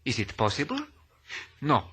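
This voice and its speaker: An actor playing a comic, wheedling shopkeeper with a Spanish accent and elaborately polite theatrical manners in a 1950s radio drama. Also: male voice, medium pitch, wildly swooping pitch, normal speed, sharp tone, breathy edge, heavily acted.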